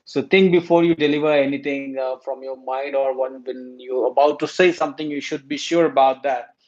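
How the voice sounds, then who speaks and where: A man's voice: medium pitch, fairly even intonation, brisk. A man from India with an Indian accent, talking casually about current affairs to his viewers, hesitant with many fillers and restarts. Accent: Indian English. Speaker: YouTube commentator. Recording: dull lo-fi recording.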